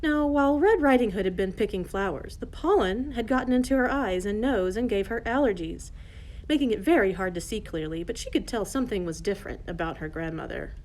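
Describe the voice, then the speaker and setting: Lady narrating a kids fairy tale, mid pitch voice, bit of background noise. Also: lively intonation, fairly quick, darkish tone, poor audio. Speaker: storyteller, narrator.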